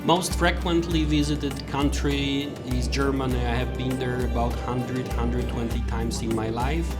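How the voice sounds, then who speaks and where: A middle-aged man from Europe with a European accent, speaking English as a non-native language, recalling his travels in a plain, halting way for a vlog. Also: mid-range voice, level tone, loud music behind the voice. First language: not English. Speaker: vlogger. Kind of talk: personal travel recollections to camera.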